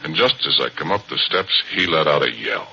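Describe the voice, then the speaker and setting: A rough, easygoing oil driller spinning his yarn in a slow, folksy drawl. His voice sits extremely deep yet bright and forceful. It comes through a dull old radio broadcast with a faint hiss. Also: expressive, heavily acted. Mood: storytelling. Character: Porky, gruff easygoing oil driller and narrator. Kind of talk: 1940s radio drama acting.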